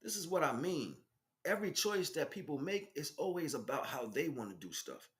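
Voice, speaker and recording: A man's voice: fairly high pitch, sing-song, normal speed, fairly bright tone, echoey room. An American man giving an informal spiritual monologue in streetwise slang, speaking emphatically and with repetition. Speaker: spiritual teacher and stream host.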